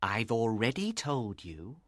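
A woman voicing an old doctor, a devoted and troubled father with a measured way of speaking, in a storybook. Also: extremely low pitch, lively intonation, no room sound, studio quality, voice pushed down. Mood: calm, reassuring.

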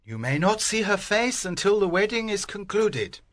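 A woman voicing a commanding, jovial older gentleman, emphatic and authoritative, in storybook dialogue. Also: mid-range voice, sing-song, moderate pace. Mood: stern.

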